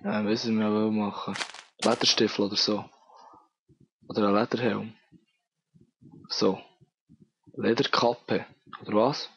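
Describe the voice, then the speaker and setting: A man's voice: on the low side, level tone, measured pace, long pauses, a bit bright, breathy edge, no echo, dull audio. Bernese Swiss German man with a cold. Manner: rambling, self-mocking, thinking aloud. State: has a cold. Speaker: let's play gamer. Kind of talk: casual gameplay commentary.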